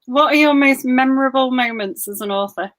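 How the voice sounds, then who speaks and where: A woman's voice: sits high, fairly quick, a bit bright. A woman hosting a casual online author interview on a video call, reading out viewers' comments in a chatty way. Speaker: book club interviewer.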